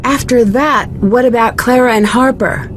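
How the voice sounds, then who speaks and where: A woman playing an inquisitive, earnest young detective in a video game, speaking politely and clearly. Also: on the high side, normal speed, background noise, lightly acted. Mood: inquiring.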